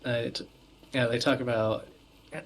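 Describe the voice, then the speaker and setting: A man's voice: mid-range voice, fairly even intonation, a little slow, low-quality recording. A man co-hosting a podcast over an online call, chatting casually in a hesitant way. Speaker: podcast co-host. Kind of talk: casual chat. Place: remote voice call.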